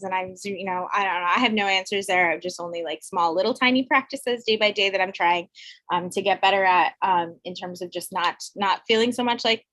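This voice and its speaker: A woman's voice: medium pitch, a bit bright, dry recording. Reflective woman on a dry video call. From Bangladesh. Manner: reflective, searching, many restarts and fillers. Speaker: school educator, co-host. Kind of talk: casual panel chat.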